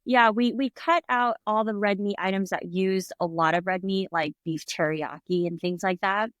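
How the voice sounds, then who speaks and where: A woman's voice: medium pitch, steady tone, normal speed, fairly bright tone, no room sound, studio quality. A middle-aged woman telling stories in a casual interview, chatty, laughing often and acting out quoted voices. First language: English. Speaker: restaurant owner, guest.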